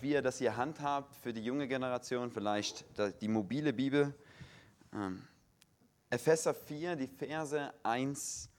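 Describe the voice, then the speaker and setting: A young man from Saxony giving a sermon in a full church hall in standard German with a faint Saxon tinge, slow and measured, stressing single words. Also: on the high side. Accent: standard German with a slight Saxon tinge. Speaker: guest preacher.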